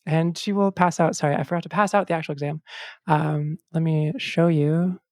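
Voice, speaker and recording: A woman acting as game master in casual table talk and narration, halting and full of false starts, recorded close at home. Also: low voice, fairly even intonation, darkish tone, no echo.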